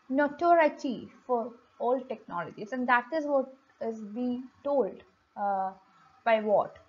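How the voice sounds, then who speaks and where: Woman, high voice, halting explanatory lecture, frequent pauses, dull lo-fi recording. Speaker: lecturer, host of an economics channel. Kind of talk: explanatory lesson read from slides.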